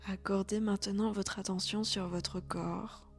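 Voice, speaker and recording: A woman leading a guided relaxation as a yoga instructor, speaking softly and calmly with breathy, near-whispered phrases. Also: medium pitch, measured pace. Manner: soft, calm, soothing delivery with breathy, near-whispered phrases. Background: background music.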